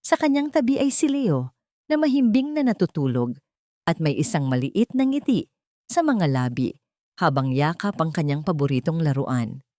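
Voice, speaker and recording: A woman narrates a dramatic audio story in a fairly low voice. Her delivery is measured and solemn, with a literary feel. The sound is dry and close. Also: darkish tone, dry recording.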